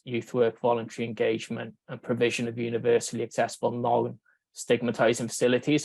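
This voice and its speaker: Welsh man with a gravelly, level voice. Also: mid-range voice, no room sound. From Wales. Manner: measured, thinking aloud with many fillers and restarts. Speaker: researcher giving a conference talk. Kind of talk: conference presentation.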